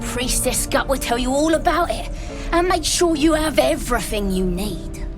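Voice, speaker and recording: A high-voiced female goblin, cocky and zealous. She talks in a colloquial, wheedling, boastful way, as in a fantasy video game, with music clearly behind her. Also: lively intonation, normal speed. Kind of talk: video game character acting.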